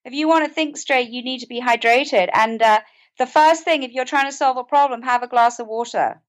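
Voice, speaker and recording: A British woman with a high-pitched voice talks as a guest in an interview. She explains things earnestly, in a conversational style. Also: a little fast. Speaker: podcast guest, author and mental health advocate.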